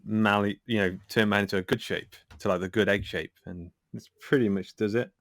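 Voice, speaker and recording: A man with a fairly low voice speaks as a podcast guest. His talk is casual and joking, recorded close and dry with no room sound. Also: steady tone, a little fast, dry recording. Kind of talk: casual jokey chat.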